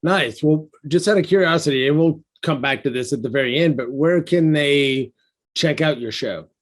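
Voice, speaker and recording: Chatty male podcast host, higher-pitched. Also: normal speed. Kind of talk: casual interview hosting.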